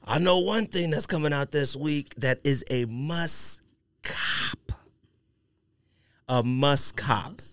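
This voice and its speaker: Higher-voiced man bantering on a podcast. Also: slow, long pauses, clean tone, no echo, clean studio sound. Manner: slangy, animated teasing and riffing. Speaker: podcast host. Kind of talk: casual sneaker podcast banter.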